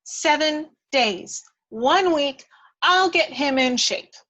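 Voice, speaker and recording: A woman's voice: sits high, expressive, takes it slowly, pushed and bright, pitched above the performer's own voice, exaggerated voice. A woman voicing a bold, feisty little fairy in a script read-through, emphatic and goading. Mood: urgent persuasion.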